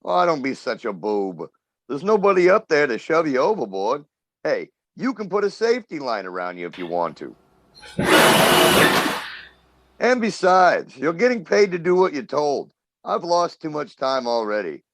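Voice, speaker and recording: A man's voice: a little high, very animated, normal speed, dry recording. A man playing a brusque, impatient oil crew boss in an old-time radio drama, bossy and blunt in colloquial talk.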